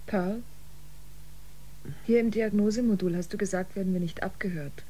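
An actress playing a wary, defiant musician aboard a spaceship in a sci-fi radio drama, expressive and pointed. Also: female voice, medium pitch, moderate pace, close to an everyday voice. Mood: indignant, suspicious.

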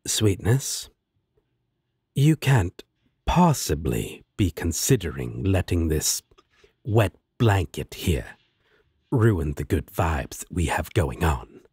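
A man's voice: deep voice, big pitch swings, very slow, pauses often, darkish tone, breathy edge, no echo, clean studio sound, exaggerated voice. A man playing a sly, laid-back demon roommate in an audio drama, teasing and sardonic with a coaxing drawl. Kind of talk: roleplay audio drama acting.

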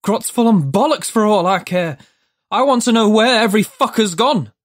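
A storyteller voicing a foul-mouthed, angry man who keeps shouting in exasperation. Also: mid-range voice, moderate pace, no echo, clean studio sound, close to an everyday voice.

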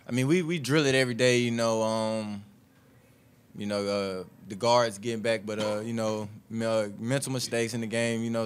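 A young man, a college basketball player, answering press questions after a game, halting and heavy on "uh" and "you know". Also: lowish voice, smooth. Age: young adult. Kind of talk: postgame press conference answers. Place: press room, seated at table. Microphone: table mic.

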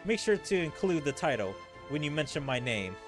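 A man reading game dialogue as a cocky, playful young gentleman thief. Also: a little high, sing-song, a little fast, over music.

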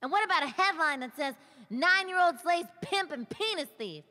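A brash high-voiced little boy. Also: lively intonation, normal speed, bright, forceful tone, big-room sound, exaggerated voice. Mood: cocky, hopeful. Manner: brash, swaggering. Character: brash, cocky nine-year-old boy with big dreams. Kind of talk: slapstick noir radio play acting.

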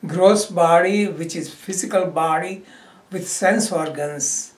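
A man leading a guided meditation as a yoga teacher, giving instruction in short phrases with pauses. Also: high-pitched. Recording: roomy echo, distant microphone.

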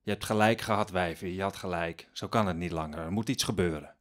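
A man's voice: deep voice, a little fast, natural voice. An actor playing a desperate, brooding eighteenth-century peasant father in a Dutch radio drama, muttering secretively. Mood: subdued, resolved. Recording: dramatic music behind the voice.

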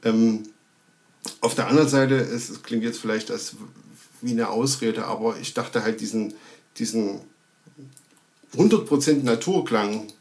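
A man in his thirties with a medium-pitched voice. He talks casually, as in a remote interview, and feels his way through sentences haltingly as he thinks aloud. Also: a little mellow. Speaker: sound recordist and film editor. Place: indoor room, video call. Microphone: video call.

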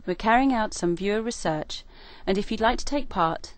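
A woman's voice: medium pitch, animated, normal speed, no echo. Dry, neutral female announcer. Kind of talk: scripted announcement.

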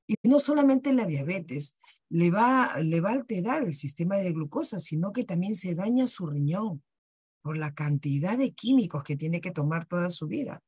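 A woman's voice: on the low side, lively intonation, normal speed, darkish tone, breathy edge, no echo, studio quality. A woman with a Peruvian Spanish accent hosts a wellness workshop on a video call, selling in a persuasive way and asking rhetorical questions. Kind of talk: sales presentation on a video call.

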